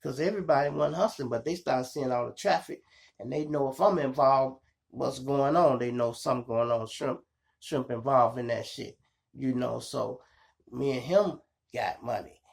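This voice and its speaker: A man with a Chicago accent and a gravelly voice, telling street stories casually to his viewers in slangy, profane talk. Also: mid-range voice. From Chicago, United States. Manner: animated retelling, slangy, profane, acts out dialogue and sound effects. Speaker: storytelling podcast host.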